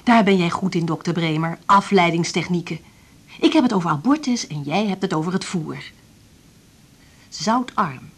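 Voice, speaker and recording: Casting: grumpy Dutch woman patient, low, husky, sarcastic and impatient, naturalistic radio play. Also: lively intonation, moderate pace. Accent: Dutch (Netherlands). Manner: blunt, sarcastic, grumbling. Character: sullen, blunt pregnant patient, impatient and sardonic. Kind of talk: naturalistic 1980s radio drama acting.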